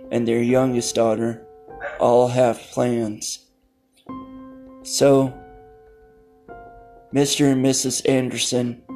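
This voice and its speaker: A man hosting a podcast, giving an informal introduction in halting, short phrases. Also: medium pitch, warm tone. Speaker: podcast host.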